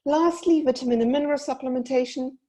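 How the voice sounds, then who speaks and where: Woman, South African accent, mellow voice, dietitian webinar, brisk and advisory, computer mic. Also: sits high. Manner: brisk, explanatory, advising parents directly. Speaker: paediatric dietitian presenting a webinar. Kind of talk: webinar presentation with slides.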